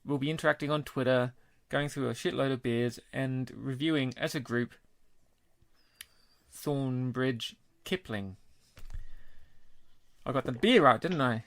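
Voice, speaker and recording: A man's voice: medium pitch, unhurried, pauses often, a bit bright, no room sound. A British man co-hosting a podcast in a casual, jokey and matey way. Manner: jovial, matey. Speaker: podcast co-host.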